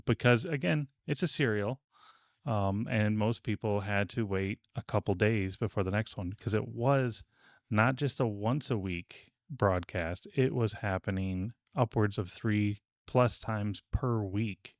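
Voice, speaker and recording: Low-voiced man hosting, very dry sound. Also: dry recording. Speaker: podcast host. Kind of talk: casual discussion with research notes.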